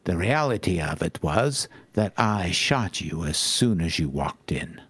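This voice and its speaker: Man putting on a deep, smug, menacing villain. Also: lively intonation, unhurried, a bit bright, breathy edge, dry recording, heavily acted. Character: smug, menacing male captor gloating over his prisoner.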